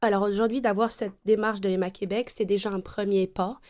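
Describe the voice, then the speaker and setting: Woman from france on a panel, lots of euhs, explains slowly. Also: mid-range voice, fairly even intonation, darkish tone. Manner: hesitant, many fillers, explanatory. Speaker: panel guest, consultant and trainer. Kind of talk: round-table discussion.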